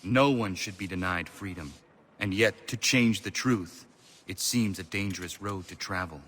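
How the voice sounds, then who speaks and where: Young principled warrior, husky, lowish voice, grave, measured, plain-spoken, doubting, game drama. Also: male voice, a bit bright. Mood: earnest, troubled.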